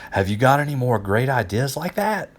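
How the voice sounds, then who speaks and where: A man voicing Euclid's excitable, easily impressed and teasing friends in a children's storybook, with exaggerated singsong delivery. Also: mid-range voice, normal speed, pitched above the performer's own voice.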